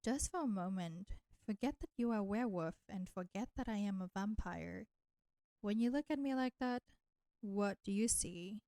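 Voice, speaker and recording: A woman's voice: mid-range voice, mellow, dry recording, lightly acted. A woman voicing a flirty, nurturing vampire in an intimate roleplay audio drama, teasing and coaxing the listener. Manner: slow, teasing, coaxing, addressing listener with pet names. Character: flirty, teasing, nurturing female vampire, ancient enemy turned caretaker.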